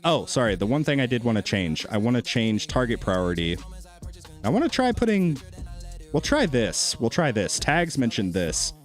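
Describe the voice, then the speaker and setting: A man's voice: medium pitch, big pitch swings, moderate pace, soft background music. A man streaming a game and chatting casually with viewers, laid-back and thinking aloud, with a soothing voice. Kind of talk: casual gameplay commentary with chat.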